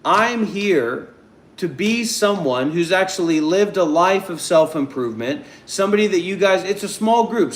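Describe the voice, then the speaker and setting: A man hosting a group voice call, speaking calmly and deliberately, often repeating himself to keep order. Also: on the high side, roomy sound. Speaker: host of a voice chat session.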